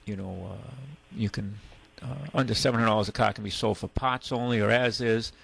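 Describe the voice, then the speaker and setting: A man hosting a call-in radio show, giving casual advice in a halting, rambling way. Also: lowish voice, fairly even intonation, gravelly, fairly bright tone, breathy edge. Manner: halting, rambling, conversational explanations. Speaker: radio call-in show host, car dealer.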